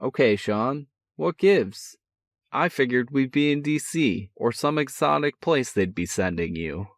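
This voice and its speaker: A man's voice: mid-range voice, expressive, a little slow, no echo, pitched above the performer's own voice. Man doing a groggy teasing younger brother, storybook character, dry sound. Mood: sardonic. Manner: sardonic, teasing.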